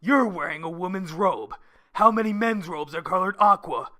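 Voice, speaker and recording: A man voicing a proud, boastful cartoon hedgehog with a pompous, formal, deadpan delivery. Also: sits high, normal speed. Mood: smug, dry.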